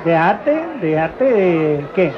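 A man with a high voice hosting live radio, playing dumb and echoing his guest's words. The sound is lo-fi, and a crowd murmurs in the background. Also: sing-song, slow pace. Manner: playfully feigns confusion, repeats words. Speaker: radio host. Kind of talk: live radio comedy banter. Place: outdoor town fair. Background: crowd noise.

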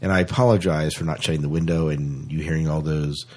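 A man with a very deep voice and a noticeably husky edge, chatting casually as a podcast co-host. His delivery is informal and teasing, full of playful jokes. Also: fairly even intonation, quick pace, a little mellow. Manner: joking, teasing. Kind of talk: casual chat.

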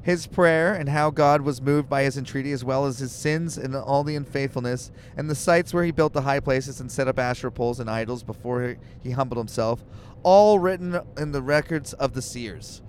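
A man's voice: mid-range voice, normal speed, slight background noise. A man co-hosting a podcast in a moving car, reading scripture aloud and joking about it with irreverent, swearing asides. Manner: joking, swears freely, reads passages then riffs.

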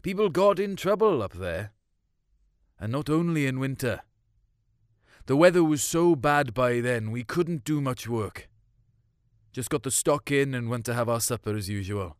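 A man's voice: mid-range voice, animated, measured pace, smooth, no echo, studio quality, lightly acted. A man voicing a big Welsh hill farmer in a short story, reminiscing wistfully in colloquial dialect. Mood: troubled, wistful.

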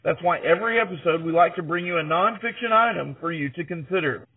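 High-voiced male podcast host, thin phone-like sound. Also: fast talker. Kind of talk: conversational podcast presenting.

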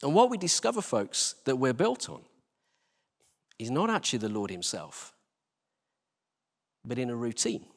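A British man giving an informal, conversational sermon as a church leader, asking rhetorical questions and repeating phrases for emphasis. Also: medium pitch. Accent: British English. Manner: conversational, self-deprecating, with rhetorical questions and repetition. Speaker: church team leader preaching. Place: large reverberant indoor church space. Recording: live church hall, long reverberation.

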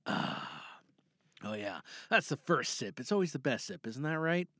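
A man talks as a podcast host, chatty and playful, thinking out loud. His voice is bright and lively in pitch, captured dry and close. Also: normal speed, dry recording. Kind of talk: casual podcast talk.

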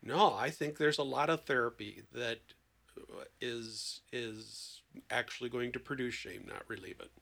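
A man talking as a therapist guest in an animated, conversational way, emphatic and given to acting out imagined dialogue. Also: mid-range voice, unhurried, vocal fry.

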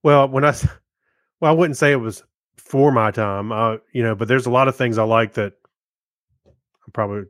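A man co-hosting a podcast, reviewing things casually in a halting, self-correcting way. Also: medium pitch, no echo, studio quality. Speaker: podcast co-host.

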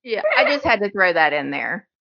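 Woman telling a story, pitch jumping all over as she does the quotes, kinda dull lo-fi sound. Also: moderate pace, creaky. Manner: animated storyteller who acts out quoted voices. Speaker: podcast co-host. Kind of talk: casual chat.

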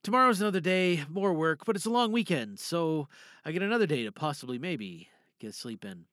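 A man's voice: fairly high pitch, very animated, fairly quick, a bit bright, no echo, studio quality. A man hosting an audio drama podcast, chatting casually in a playful, rambling way. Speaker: podcast host and voice performer.

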